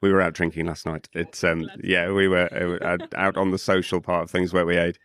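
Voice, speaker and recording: Deep croaky british guy chatting at a trade show stand, lots of ums and repeats. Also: level tone, brisk, a bit bright. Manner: chatty, repeats words, many fillers. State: croaky voice after a night out. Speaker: podcast co-host. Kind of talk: casual trade show interview. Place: busy trade show hall.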